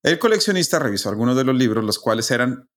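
A man's voice: medium pitch, very animated, quick pace. A man with a Mexican accent hosting a podcast, telling a story conversationally with asides and checking tag questions. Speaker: podcast host. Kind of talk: casual storytelling with friends.

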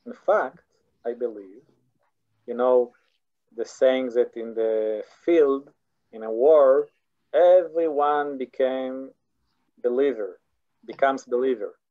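Israeli-accented man, slow deliberate speech, earnest, stumbles and repeats words, dull lo-fi sound. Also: mid-range voice. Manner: earnest, halting, repeats words while searching for English. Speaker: guest scholar. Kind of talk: philosophical interview answers. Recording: dull lo-fi audio.

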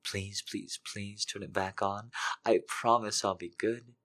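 A man voicing a needy, submissive adult woman who pleads in a soft, breathy half-whisper. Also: medium pitch, steady tone, fairly quick, pitched above the performer's own voice, lightly acted. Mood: pleading.

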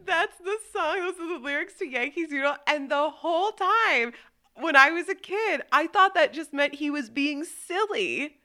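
A young woman hosting a casual chat, giggly and animated as she tells stories. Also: sits high, moderate pace. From United States.

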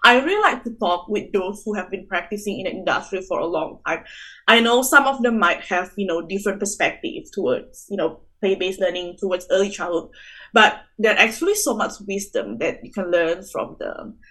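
A woman's voice: mid-range voice, expressive, brisk, a bit bright, poor audio. A young woman from Indonesia, an early childhood teacher, talking earnestly in a casual interview with lots of "you know" fillers.

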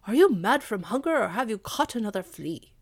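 Storybook dog: gruff, loyal, excitable male hound, gravelly and close-miked dry; a woman reader voicing him. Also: medium pitch, brisk, dry recording. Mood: mocking. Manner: growling, loud and excitable.

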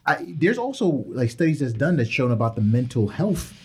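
A man's voice: mid-range voice, very animated, moderate pace, darkish tone, slightly rough. A man arguing his point emphatically in a panel discussion, repeating words and restarting sentences. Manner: emphatic, repetitive, restarts often. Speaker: panel guest. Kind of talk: animated discussion.

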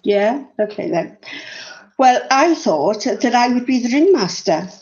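A woman's voice: on the high side, animated, measured pace, darkish tone, highs cut off. A woman, hosting a podcast and putting questions to her guests in an organised, friendly way. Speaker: podcast host.

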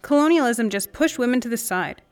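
A woman's voice: on the high side, lively intonation, brisk, lightly acted. A woman playing a candid, indignant friend in a naturalistic audio drama conversation. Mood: questioning. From Canada, Saskatchewan.

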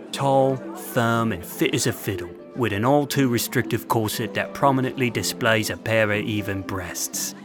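A man with a lowish voice narrates a scripted story. His delivery is florid and theatrical, suited to an audio play. Music plays clearly behind him.

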